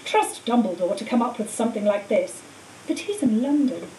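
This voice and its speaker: A woman voicing a cold, treacherous male wizard teacher in an audiobook, speaking in a calm, menacing, matter-of-fact way. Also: fairly high pitch, normal speed, close to an everyday voice. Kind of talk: audiobook character voice. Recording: roomy echo, distant mic, faint hiss.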